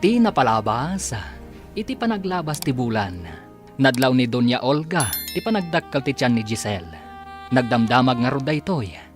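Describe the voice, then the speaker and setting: A man with a Filipino accent narrating a radio drama in a scripted, theatrical announcer style with drawn-out emphasis. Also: medium pitch, sing-song, music in the background.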